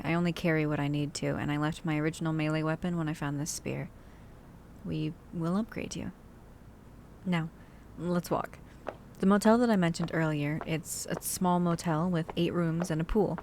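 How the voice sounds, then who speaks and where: A low-pitched female survivor of the zombie apocalypse, acted by a woman in an ASMR roleplay. She is cautious and caring, rambling conversationally to her companion with hesitant asides. A faint rumble sits behind the voice. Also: fairly even intonation, moderate pace, vocal fry, a little mellow. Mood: caring, practical. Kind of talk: ASMR roleplay audio drama, addressing the listener.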